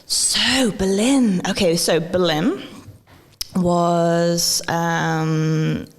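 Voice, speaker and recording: A woman from Wales talks in a low, gravelly voice, chatty and quick to laugh, with plenty of ums and restarts. Her voice carries a long reverb from a hall around her. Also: fairly even intonation, a little hiss or hum. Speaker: guest, maker and educator. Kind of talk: relaxed conversational interview. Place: event venue at a festival.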